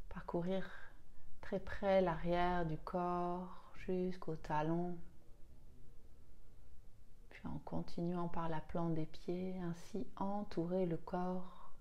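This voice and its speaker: A woman leading a guided relaxation meditation as a yoga nidra teacher, soothing and unhurried, with long pauses and a soft, at times breathy voice. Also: on the low side, level tone, dull audio.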